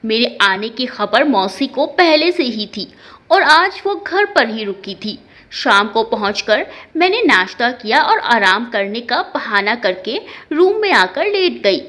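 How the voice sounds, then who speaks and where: A woman narrating an emotional first-person story in an expressive, dramatic way. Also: a little high, a little slow.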